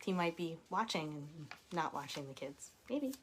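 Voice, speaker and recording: A woman's voice: deep voice, lively intonation, moderate pace, a little hiss or hum. A woman in her forties, an author hosting a casual live chat from home, reading out viewer comments and laughing often. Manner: chatty and warm, reads viewer comments aloud, laughs often.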